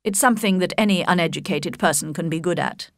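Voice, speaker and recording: A woman voicing a proud, reserved young gentleman in a storybook, measured, polite and faintly disdainful. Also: medium pitch, very animated, dry recording, clean studio sound. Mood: cool disdain.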